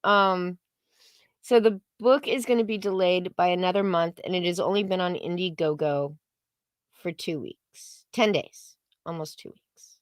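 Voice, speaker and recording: A woman's voice: on the low side, a little slow, no echo, clean studio sound. A woman co-hosting a livestream with casual commentary, blunt and sarcastic, with frequent swearing. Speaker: co-host of a livestream.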